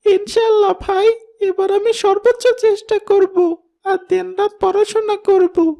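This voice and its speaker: Very high-pitched meek schoolboy. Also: female voice, little pitch movement, unhurried, creaky, dark tone, heavily acted. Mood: determined. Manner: meek, earnest. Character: timid, sad schoolboy who struggles with studies. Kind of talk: children's moral cartoon dubbing.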